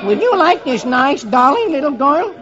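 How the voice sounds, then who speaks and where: A man's voice: extremely high pitch, big pitch swings, normal speed, highs cut off, over music. A man voicing a hapless, boyish young soldier, exclaiming his way through an old radio comic-strip reading. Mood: eager. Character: hapless young male soldier, easily exasperated. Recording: band-limited old radio recording.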